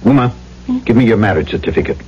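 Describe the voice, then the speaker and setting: An actor playing a brash, headstrong but earnest trader, blunt and emphatic, in an old-time radio drama. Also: male voice, on the low side, animated, fast, natural voice. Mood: insistent. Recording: muffled, faintly noisy old radio broadcast.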